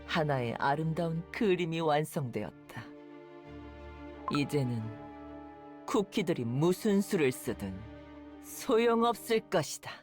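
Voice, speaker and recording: A woman voicing an imperious dark witch in a game cutscene, coldly satisfied, deliberate and savoring each word. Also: deep voice, animated, soft background music. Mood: smug, calm. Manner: savoring, deliberate, repeats words for effect. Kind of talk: scripted video game cutscene acting.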